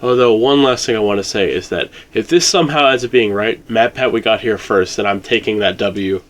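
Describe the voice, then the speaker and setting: A man's voice: fairly low pitch, brisk. A man co-hosting a casual chat, speaking in an informal, blunt, conversational way. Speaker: co-host of a video game theory discussion.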